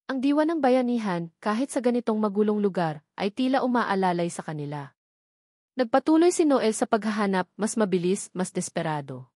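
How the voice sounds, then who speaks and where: A woman's voice: mid-range voice, darkish tone, dry recording, studio quality. A woman reading scripted dramatic narration as an audiobook narrator.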